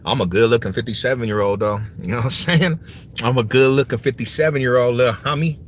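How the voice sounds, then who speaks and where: A man's voice: medium pitch, fast talker. A man in his forties chatting casually on a livestream, slangy and prone to repeating his phrases as he replies to viewers. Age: 40s. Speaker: livestream host. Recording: noticeable background noise.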